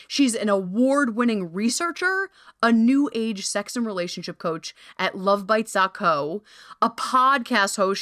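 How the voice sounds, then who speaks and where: A woman's voice: mid-range voice, fairly bright tone, no room sound, clean studio sound. A woman in her thirties hosting a podcast, talking in a bubbly, gushing way full of casual slang. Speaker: podcast host.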